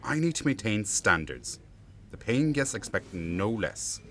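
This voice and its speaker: A man's voice: fairly low pitch, sing-song, a little slow, fairly bright tone, heavily acted. A man playing a haughty, officious hotel manager in a radio drama, scolding in a pompous, formal, disdainful way. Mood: disdainful, stern. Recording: busy crowd chatter behind.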